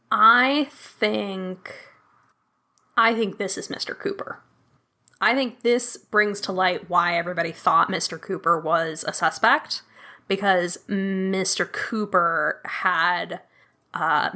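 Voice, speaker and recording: A woman plays through a tabletop murder mystery, thinking aloud at a slow pace. Her voice is bright and sharp, and she works through her reasoning with plenty of fillers. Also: medium pitch. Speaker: guest player. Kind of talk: playing a tabletop mystery game.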